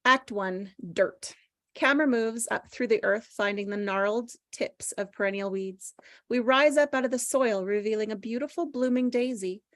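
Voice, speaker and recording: Woman reader, fairly high voice, dry sound, casual talk and screenplay narration. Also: normal speed, no room sound. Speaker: table read participant reading action descriptions. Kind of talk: casual chat and scripted narration.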